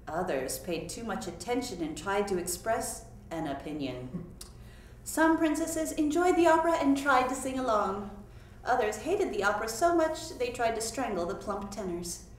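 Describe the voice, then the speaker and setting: Casting: female storyteller, higher-pitched, theatrical comic reading, recorded from afar in a very echoey room. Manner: expressive, theatrical. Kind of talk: humorous scripted storytelling. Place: library meeting room. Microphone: far camera. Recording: echoey room, far from mic, noisy.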